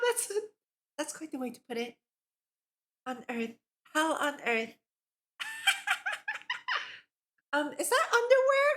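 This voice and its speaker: A woman livestreamer reading a visual novel aloud and chatting casually, with a giggly, expressive manner. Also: sits high. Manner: giggly, expressive, exclaims in reaction to the text. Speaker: VTuber streamer hosting a visual novel read-along.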